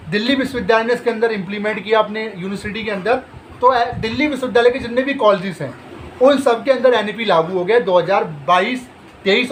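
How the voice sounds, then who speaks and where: A man with a high-pitched voice talks straight to students as the host of an education channel. He asks himself questions and answers them in a reassuring way. A faint background noise sits under the recording. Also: low-quality recording. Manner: direct, reassuring, rhetorical questions. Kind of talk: explainer talk to students.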